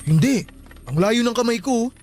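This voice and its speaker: A man's voice: very high-pitched, lively intonation, normal speed, a little mellow, a little hiss or hum. A man with a Filipino accent playing a grieving, superstitious, jumpy young friend in a radio drama. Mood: alarmed. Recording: faint music under the voice.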